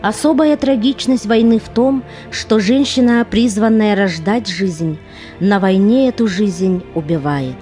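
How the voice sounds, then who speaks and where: A woman's voice: medium pitch, warm tone. A woman giving scripted, solemn, declamatory narration for an audio play.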